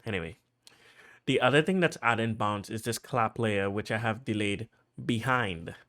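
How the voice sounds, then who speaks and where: A man with a Trinidadian accent casually talking through how he produced a track, chatty and full of fillers, getting excited as he goes. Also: lowish voice, moderate pace. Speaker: music producer.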